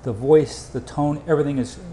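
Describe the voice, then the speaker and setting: A man giving an informal sales presentation over slides, with hurried, clipped phrasing. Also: a little high, fairly even intonation, mellow. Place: indoor room, voice-over for slides. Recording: roomy echo, faint background noise.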